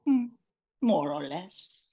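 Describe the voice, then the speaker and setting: A woman's voice: lowish voice, expressive, slow, warm tone, husky, exaggerated voice. A woman playing a noble, melodramatic lost love in an amateur space-noir radio play. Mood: pleading.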